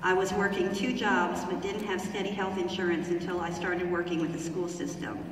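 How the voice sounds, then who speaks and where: A woman's voice: on the low side, flat delivery, normal speed, a little hiss or hum. A woman at a town hall reading out a written testimonial, carefully and with small fillers. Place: large theater hall. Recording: echoey hall from far off.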